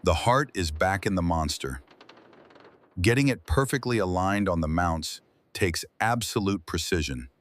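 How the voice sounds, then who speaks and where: A man's voice: low voice, animated, measured pace. A man giving scripted narration as the narrator of a restoration video. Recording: noticeable background noise.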